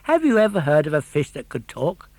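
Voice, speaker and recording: A man's voice: fairly high pitch, big pitch swings, a little fast, darkish tone, dry recording, voice pushed up. A man voicing an indignant, plucky little wooden puppet boy for a storybook recording, protesting emphatically. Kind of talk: audiobook character voice.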